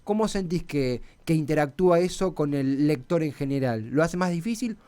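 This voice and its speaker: A clear, smooth-voiced Argentine man with a fairly high pitch, hosting a radio talk. He interviews conversationally, circling and repeating words as he works toward a question. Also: lively intonation, dark tone. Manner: halting, repeats words while forming questions. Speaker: radio host.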